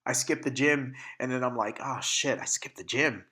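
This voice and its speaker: A man's voice: medium pitch, moderate pace, bright, forceful tone. Congested man, candid and profane. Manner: candid and profane, with frequent restarts and fillers. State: congested and sniffling from allergies. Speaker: podcast host. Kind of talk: casual solo podcast monologue.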